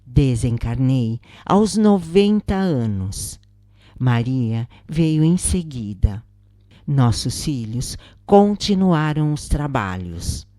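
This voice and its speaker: A Brazilian woman narrates a scripted account in a very low voice. Her delivery is slow and measured, with a soft, mellow tone. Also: sing-song, dry recording, clean studio sound. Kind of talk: scripted narration of a first-person spiritist account.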